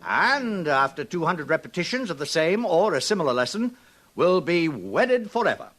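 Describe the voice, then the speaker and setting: Casting: imperious institute director, high-pitched, swooping, pompous and smugly cold, 1950s radio drama lecturer. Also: male voice, very animated, moderate pace, a bit bright. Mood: smug, lecturing. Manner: pompous, declamatory, instructive. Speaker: actor.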